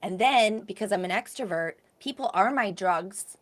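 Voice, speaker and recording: A woman with a gravelly voice co-hosting a podcast, chatting in a lively, talkative way. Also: mid-range voice, normal speed. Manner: chatty, animated, self-described extrovert. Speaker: podcast co-host. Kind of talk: casual chat.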